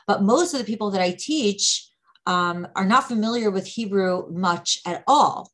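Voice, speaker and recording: A woman leads a study session in an easy conversational tone. She explains terms patiently and often restarts her sentences. Her voice sits at a middle pitch. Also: expressive, a little slow, fairly bright tone. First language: English. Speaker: Torah teacher. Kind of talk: conversational teaching.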